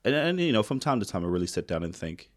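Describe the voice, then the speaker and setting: A man's voice: fairly low pitch, quick pace. A man of thirty who works in mental health, chatting casually with fillers and the odd laugh. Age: 30s. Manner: relaxed, chatty, laughs often, many fillers. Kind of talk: casual conversation.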